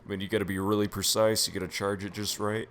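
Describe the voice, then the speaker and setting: A man's voice: lowish voice, flat delivery, fast, fairly bright tone, a little hiss or hum. A man giving casual, offhand video game commentary, swearing freely as he plays. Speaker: gameplay commentator.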